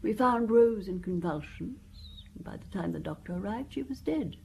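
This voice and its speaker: An actress playing a dainty, composed elderly widow in a radio drama, earnest and precise with dramatic pauses. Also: female voice, mid-range voice, expressive, gravelly, a little mellow, a little hiss or hum. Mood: grave, recounting. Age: older. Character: dainty, genteel, composed old French widow.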